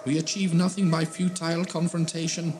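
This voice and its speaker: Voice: mid-range voice, little pitch movement, a little fast, noisy background, close to an everyday voice. A performer playing an imperious, fanatical alien cult leader in a science fiction audio drama, commanding and declamatory. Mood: imperious, threatening.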